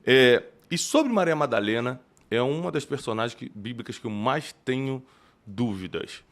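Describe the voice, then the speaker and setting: A man from Rio de Janeiro with a carioca accent, a theologian hosting an interview who asks questions and thinks aloud in an animated way. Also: mid-range voice, moderate pace. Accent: Carioca Brazilian Portuguese. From Rio de Janeiro, Brazil. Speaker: podcast host and theologian. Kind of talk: conversational interview.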